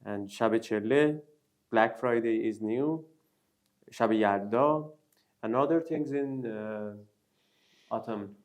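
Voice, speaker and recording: A man with a Persian accent, a native Persian speaker co-hosting a casual chat, slow and hesitant with many fillers. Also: mid-range voice. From Iran. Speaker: co-host.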